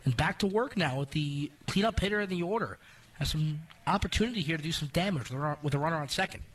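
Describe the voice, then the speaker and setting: Male color commentator for radio baseball, fairly high voice, conversational analysis, a little off the mic. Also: fast talker, recorded from a distance. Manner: conversational, explanatory.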